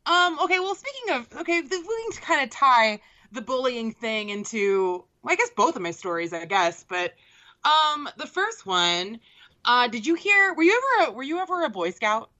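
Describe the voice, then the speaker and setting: A woman's voice: high-pitched, sing-song, moderate pace, pushed and bright. A woman co-hosting a casual podcast, bantering with sarcastic, profane humor. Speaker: podcast co-host. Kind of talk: casual chat. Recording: dull lo-fi sound.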